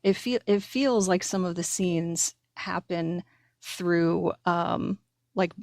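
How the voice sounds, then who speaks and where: A woman co-hosting a podcast in a casual, informal way, halting often as she works out her thoughts. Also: on the low side, a little mellow, dry recording. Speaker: podcast co-host. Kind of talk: casual chat.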